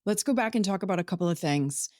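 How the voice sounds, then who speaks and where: Encouraging woman host, low voice. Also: animated, quick pace, dry recording, studio quality. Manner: warm, encouraging, prompting with follow-up questions. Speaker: podcast host. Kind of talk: interview hosting.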